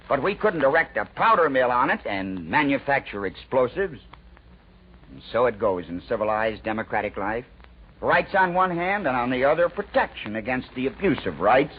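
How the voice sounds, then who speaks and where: A man with an American accent delivers a scripted 1940s radio editorial in an oratorical, emphatic way, with deliberate rhetorical pauses. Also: medium pitch, slightly rough. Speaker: radio news commentator. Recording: dull, noisy 1940s radio recording.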